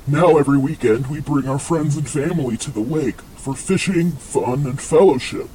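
An earnest husband, played by a man, gives a scripted testimonial in a radio parody. His voice is very mellow and fairly high, over faint noise and ambience. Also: moderate pace, natural voice, poor audio. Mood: contented, sincere. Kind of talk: scripted radio comedy sketch.

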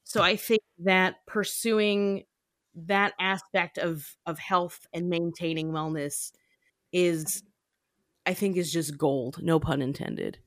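A woman's voice: lowish voice, fairly even intonation, slow, dry recording. Young woman podcast host, chatty and slangy, playful, super dry close sound. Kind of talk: casual explanatory podcast chat.

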